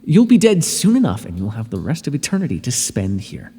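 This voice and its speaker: A man's voice: medium pitch, huge pitch swings, fairly quick, mellow, breathy edge, big-room sound, full character voice. A man voicing an irritable male god who rules the underworld, speaking in a haughty, scolding way with dry sarcasm, as an audio roleplay.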